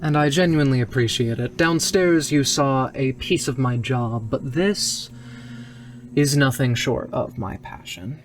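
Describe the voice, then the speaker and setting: A man's voice: mid-range voice, a little slow, faint music behind, lightly acted. A man voicing a polite, eager memory expert in a tabletop game, speaking in a formal, clinical and articulate way. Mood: courteous, curious.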